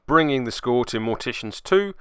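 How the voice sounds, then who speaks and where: A man's voice: medium pitch, expressive, normal speed, heavy background noise. A man hosting a tabletop miniatures game report, giving semi-scripted play-by-play with wry, playfully mock-dramatic asides.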